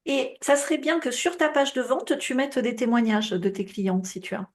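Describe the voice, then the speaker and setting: A woman's voice: on the high side, animated, moderate pace. French woman coaching casually, full of fillers. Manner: casual, advising, many fillers and tu vois. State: coughing, voice tiring. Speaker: business coach. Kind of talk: group coaching call.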